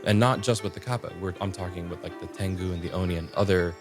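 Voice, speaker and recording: Low-voiced man explaining, music behind him. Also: fast. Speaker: podcast co-host discussing folklore. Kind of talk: explanatory casual discussion.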